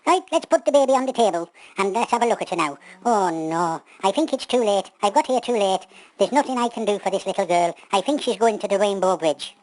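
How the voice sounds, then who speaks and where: A woman's voice: mid-range voice, lively intonation, fairly quick, darkish tone, no echo, natural voice, low-quality recording. A woman voicing a flustered, kindly male doctor in a pantomime story, exclamatory and repeating himself. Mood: worried, fussing.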